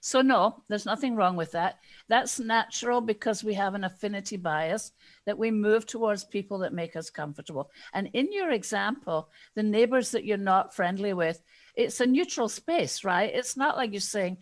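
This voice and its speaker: A woman with a Scottish accent, a guest expert in an interview, explaining ideas in a measured way and acting out the inner questions of her examples. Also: mid-range voice, expressive. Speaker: guest expert on diversity and inclusion.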